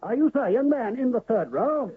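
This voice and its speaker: An actor in a radio drama playing a pompous, florid traveling medicine-show pitchman who pours out a grand sales patter, on a narrow lo-fi old radio recording. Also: very animated, moderate pace, breathy edge, full character voice. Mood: grandiloquent. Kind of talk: 1970s radio drama acting.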